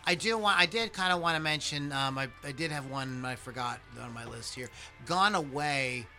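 A man talks in a loose, rambling podcast style, stopping and restarting with plenty of fillers and casual swearing. His voice is bright and forceful, and soft music plays faintly behind him. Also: a little high, normal speed. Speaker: podcast host. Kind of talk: casual chat, reading lyrics aloud.

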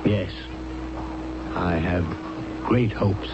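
An actor in a 1970s radio drama playing a measured, reassuring male doctor who speaks deliberately and solemnly. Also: sits low, gravelly, over loud music, exaggerated voice. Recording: dull, noisy old radio recording.

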